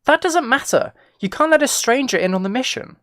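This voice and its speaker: A man's voice: very high-pitched, animated, fairly quick, fairly bright tone, no echo, clean studio sound, voice pushed up. A man voicing an urgent, wary woman mission handler, with careful pronunciation, in an audiobook-style spy story. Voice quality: muffled, well-pronounced.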